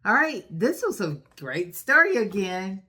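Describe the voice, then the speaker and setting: A woman hosts a read-aloud for young children at a slow, deliberate pace. She recaps the story in an instructive, encouraging manner. She often checks in with her little listeners by asking them questions. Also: medium pitch, sing-song, bright, forceful tone, slightly rough.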